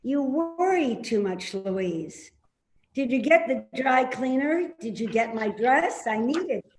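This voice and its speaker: A sarcastic elderly mother in a stage play, acted by a woman, needling and quick to brush things off. Her voice sits fairly high and moves with very lively swings of pitch. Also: a little hiss or hum. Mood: grumbling, sarcastic. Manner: dry, needling, dismissive. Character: hobbling, sharp-tongued elderly mother, evasive and sarcastic. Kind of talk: readers theater stage-play acting.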